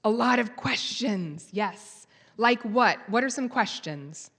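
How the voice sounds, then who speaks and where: A woman's voice: a little high, animated, slow, fairly bright tone. A woman giving a live conference talk, telling a story and quizzing the audience. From United States. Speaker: conference speaker. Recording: long hall reverb.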